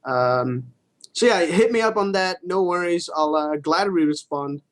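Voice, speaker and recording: Higher-voiced man chatting about games. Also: unhurried. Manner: opinionated, swears casually. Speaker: co-host of a gaming show. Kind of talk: casual gaming chat.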